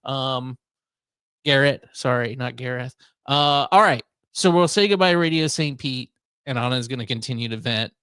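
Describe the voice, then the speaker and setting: A man's voice: medium pitch, normal speed, bright, forceful tone, clear, resonant tone, no room sound. An American man hosting a casual talk radio show, speaking in an easygoing, informal way.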